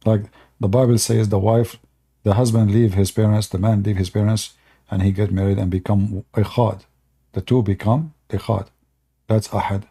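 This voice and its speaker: A man with an Arabic accent explains at length on a live stream, circling back and repeating his points. His voice is husky with a gravelly edge and a dark, mellow tone. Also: lowish voice, fairly even intonation, moderate pace. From Middle East. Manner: unscripted explaining, with fillers and repetition.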